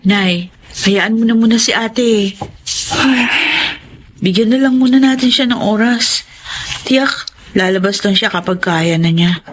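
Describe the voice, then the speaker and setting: Actress as a calm Filipina younger sister. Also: female voice, mid-range voice, unhurried, long pauses, slight background noise, close to an everyday voice. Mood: reassuring. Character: calm, protective younger sibling reassuring a parent. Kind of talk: Filipino radio drama acting.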